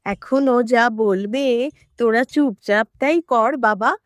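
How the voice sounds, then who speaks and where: A cheerful, motherly aunt talks in a high voice, stretching her words slowly. She teases fondly, in audio drama style, and sounds close and dry. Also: dry recording. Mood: amused, teasing. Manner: drawn-out, teasing, motherly. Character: cheerful teasing middle-aged aunt.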